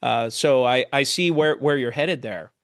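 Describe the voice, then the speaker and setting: A man hosting a conversational webinar interview, talking in a halting, roundabout way as he works toward his point. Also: medium pitch, dry recording, clean studio sound. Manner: hesitant, halting, roundabout phrasing.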